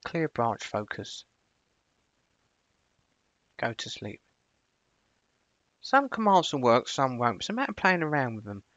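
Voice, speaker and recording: A man presenting a screencast software tutorial, switching between casual explanation and clipped spoken commands. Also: mid-range voice, sing-song, measured pace, long pauses, no echo, dull audio.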